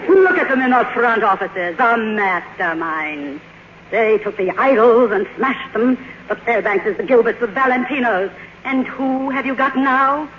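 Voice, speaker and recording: A woman's voice: fairly high pitch, lively intonation, measured pace. An actress playing a proud, imperious faded silent-film queen in a 1950s radio drama, with grandiose, theatrical delivery. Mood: scornful, bitter. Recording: muffled, noisy vintage radio broadcast.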